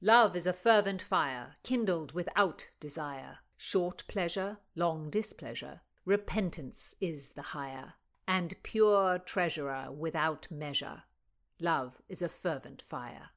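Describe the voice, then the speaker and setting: A woman's voice: low-pitched, slow pace. A woman voicing a proud, teasing young nobleman who declaims love poetry with force. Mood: declaiming.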